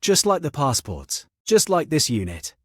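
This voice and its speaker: A man's voice: a little high, a little slow, dry recording, studio quality, voice pushed up, heavily acted. Man voicing a cold, commanding deceitful woman. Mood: bitter, self-justifying. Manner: flat, controlled, menacing.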